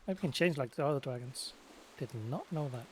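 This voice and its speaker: A man's voice: medium pitch, fairly quick. A man giving casual gameplay commentary in short, offhand muttered reactions. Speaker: gamer recording a let's play. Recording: faint hiss and noise.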